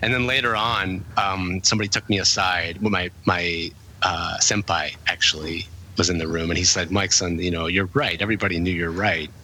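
A man's voice: low-pitched, steady tone, normal speed, few pauses, bright, forceful tone, slight background noise, poor audio. A man being interviewed about his working life, talking in a reflective, rambling way with many fillers and restarts. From English-speaking country. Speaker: guest, author. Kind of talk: conversational interview.

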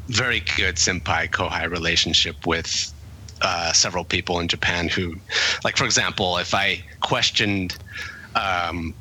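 Very deep-voiced man telling work stories. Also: pushed and bright, poor audio. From English-speaking country. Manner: reflective storyteller, many fillers and restarts. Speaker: guest, author. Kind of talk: conversational interview.

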